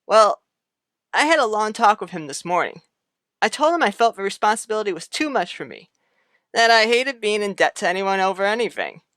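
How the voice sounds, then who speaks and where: A woman's voice: mid-range voice, big pitch swings, brisk, fairly bright tone, no echo, clean studio sound. A woman voicing an affable but defensive grown man with a folksy manner in a radio soap opera.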